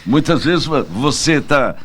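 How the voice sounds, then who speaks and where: A man's voice: on the high side, lively intonation, a little slow, breathy edge. A Brazilian man hosting a casual radio interview, chatting and putting questions to his guest in a conversational way.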